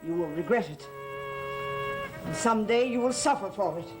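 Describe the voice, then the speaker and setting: An actress playing a stern servant in a gothic soap, speaking in a grave, formal way. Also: female voice, medium pitch, expressive, moderate pace, music in the background, natural voice. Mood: ominous, urgent. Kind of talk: 1960s-70s gothic TV soap acting.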